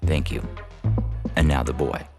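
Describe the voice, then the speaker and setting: A man acts as a businesslike official in an audio drama. His voice is extremely deep and full of gravelly fry, formal and brisk in delivery, with music playing beneath it. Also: fairly even intonation. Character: brisk businesslike male official running an adoption procedure. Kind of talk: audio fiction reading.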